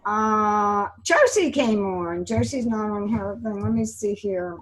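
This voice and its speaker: A woman's voice: mid-range voice, takes it slowly, slightly rough. An older woman hosting a video meeting, friendly as she manages the call and its participants. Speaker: host.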